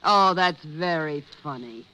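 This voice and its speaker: An actress playing a sharp-tongued, indignant wealthy wife in a 1950s radio drama, theatrical and cutting. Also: female voice, sits low, animated, a little slow, clear, resonant tone, heavily acted, poor audio. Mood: impatient. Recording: old radio recording with background noise.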